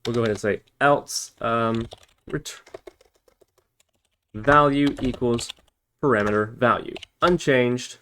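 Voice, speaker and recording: A man's voice: mid-range voice, slow pace, long pauses, fairly bright tone. A man giving a casual step-by-step programming tutorial, thinking aloud and correcting himself as he types. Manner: thinks aloud while typing, self-correcting. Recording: noisy, with keyboard typing and clicks. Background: computer keyboard typing, clicking, background noise.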